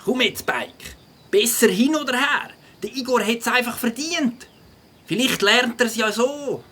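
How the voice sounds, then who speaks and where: A woman's voice: a little high, unhurried, a bit bright, husky. A woman voicing a cheeky, blunt young schoolkid in a children's audio drama, exclaiming eagerly with a Swiss German accent. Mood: gloating, insistent.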